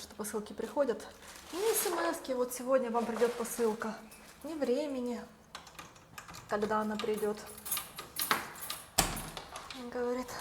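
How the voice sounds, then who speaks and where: A young woman chats through her day as a vlogger, her voice sitting a little high. She tells stories and acts out the dialogue she quotes. A faint hum of noise runs underneath. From Russian-speaking, living in Spain. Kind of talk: casual vlog narration.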